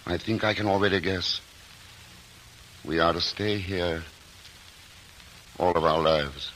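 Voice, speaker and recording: An actor playing a thoughtful, earnest explorer in a 1940s radio drama, speaking in a measured way. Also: male voice, sits low, very noisy. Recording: old radio broadcast with score.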